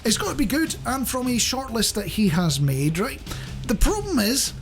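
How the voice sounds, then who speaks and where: A man's voice: extremely high pitch, big pitch swings, moderate pace, soft background music. A man presenting a radio show in a chatty, rambling, jokey way, speaking directly to the listener.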